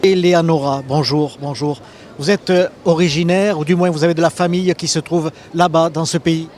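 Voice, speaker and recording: A man's voice: fairly high pitch, steady tone, moderate pace, darkish tone, slight background noise. A man roaming a trade fair, asking stand holders questions in a chatty, joking way with many restarts. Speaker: fair reporter. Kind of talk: roving interviews at a trade fair.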